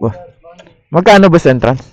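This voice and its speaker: A man's voice: on the high side, animated, moderate pace, darkish tone. A Filipino-accented man chatting casually as a motorcycle vlogger during a ride. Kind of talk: casual riding chat.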